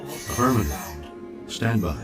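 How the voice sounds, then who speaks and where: A man's voice: lowish voice, expressive, measured pace, music in the background. A man voicing a male starship weapons and scanner computer with terse, clipped confirmations. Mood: businesslike.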